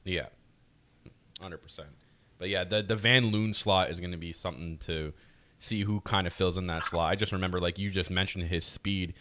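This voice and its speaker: A man's voice: deep voice, fairly even intonation, moderate pace, sharp tone, a little hiss or hum. A man chatting casually as a co-host and analyst on a hockey podcast. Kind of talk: casual sports podcast chat.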